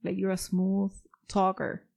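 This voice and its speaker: Teasing young woman with gravelly voice. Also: mid-range voice, completely flat delivery, unhurried, a little mellow. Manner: switches between English and Spanish, teasing. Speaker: podcast co-host. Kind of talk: casual bilingual chat.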